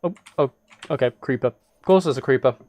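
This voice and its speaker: A man's voice: medium pitch, a little fast, darkish tone. A man live streaming a game with casual, rambling, self-amused commentary, chatting as he plays indoors at a computer. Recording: clear background noise, keyboard and mouse clicks.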